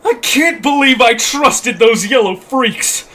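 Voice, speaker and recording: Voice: mid-range voice, expressive, takes it slowly, sharp tone. A performer voicing a short, stumpy, impatient troll obsessed with food, bellowing and complaining in an amateur audio drama. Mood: grumpy, indignant.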